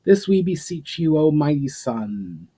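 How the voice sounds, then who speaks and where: Man voicing a proud young hunter, loudly declaiming. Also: fairly high pitch, big pitch swings, a little slow, slightly rough, pitched above the performer's own voice, heavily acted. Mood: solemn, proud. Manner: declamatory, solemn, raised voice. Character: eager, proud young man, a hunt leader proclaiming a vow. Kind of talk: dramatic story reading.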